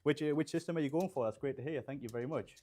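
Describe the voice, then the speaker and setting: A man's voice: a little high, very fast, mellow. A young man from England, raised there with Polish roots, chatting casually and rambling with fillers as he builds a PC and reads out live chat. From Poland, raised in England. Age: young adult. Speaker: livestream host building gaming PCs. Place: small studio room with shelves.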